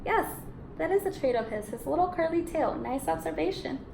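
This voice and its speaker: A woman teaching young children in a read-aloud lesson, encouraging and expressive, asking questions and confirming answers. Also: sits high, moderate pace, creaky. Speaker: elementary school teacher. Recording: echoey room with background noise.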